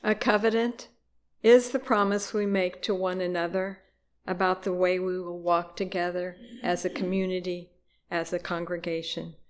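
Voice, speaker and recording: A woman's voice: fairly low pitch, flat delivery, moderate pace. A woman worship reader, reading aloud at a church service.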